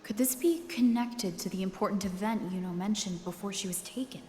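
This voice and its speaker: A woman playing a grave, observant adventurer in a video game, speaking in a measured, pensive way. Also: medium pitch, mellow, lightly acted. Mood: concerned. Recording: echoey hall with ambient noise.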